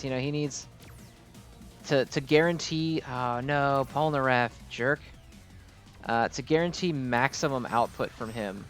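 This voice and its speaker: A man's voice: medium pitch, a bit bright. Rambling man doing casual gameplay commentary. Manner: rambling, self-interrupting, casually sweary. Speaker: gaming video host.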